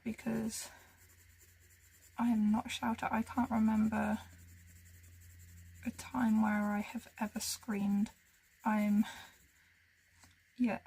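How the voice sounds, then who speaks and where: A woman with a fairly high, gravelly voice talks through her colouring in a relaxed, casual way. She muses to herself as she works, often sinking into a near whisper, and her tone stays husky throughout. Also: low-quality recording. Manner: soft-spoken, musing, often drops to a whisper. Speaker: colouring channel host. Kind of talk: casual narration while colouring.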